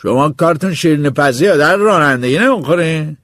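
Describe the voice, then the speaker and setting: A man voicing a stern male driving instructor who lectures slowly and gruffly. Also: on the high side, lively intonation, a bit bright, dry recording, clean studio sound, voice pushed up. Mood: lecturing. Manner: slow, lecturing.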